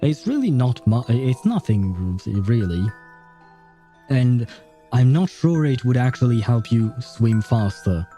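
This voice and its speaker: A man's voice: medium pitch, big pitch swings, a little slow, mellow. A man reading a game story aloud and adding casual, sardonic commentary as a streamer. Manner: sardonic asides. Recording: background music behind voice.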